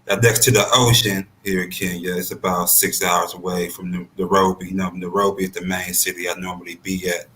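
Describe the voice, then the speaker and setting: A man's voice: deep voice, little pitch movement, brisk, few pauses, pushed and bright, a little hiss or hum, poor audio. A man with a sleepy morning voice chatting casually as a panel member. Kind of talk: casual chat.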